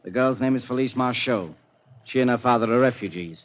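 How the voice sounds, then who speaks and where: An actor playing an older, knowing doctor who relates a mystery in a deliberate, avuncular way, in 1940s radio acting style. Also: male voice, medium pitch, lightly acted. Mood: knowing, teasing. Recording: dull, faintly noisy 1940s radio recording.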